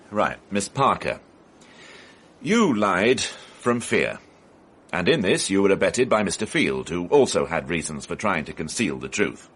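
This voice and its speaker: An actor playing a formal, persistent police inspector in a radio drama, speaking precisely and probingly. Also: male voice, sits low, big pitch swings, unhurried, pauses often, fairly bright tone, slightly rough, heavily acted. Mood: accusing, measured. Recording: faintly noisy archive radio broadcast.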